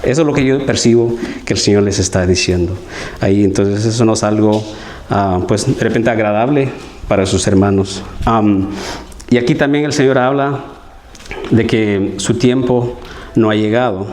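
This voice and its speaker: A man's voice: lowish voice, moderate pace, a little mellow, a little hiss or hum. A man from Guatemala, giving an earnest, conversational sermon as a lay preacher and often asking his listeners for agreement. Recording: roomy church hall echo.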